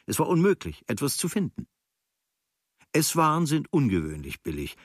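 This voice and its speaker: A man reading a literary travel diary in a measured, observational way, with clipped phrases. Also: on the low side, no room sound, clean studio sound. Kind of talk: scripted literary diary narration.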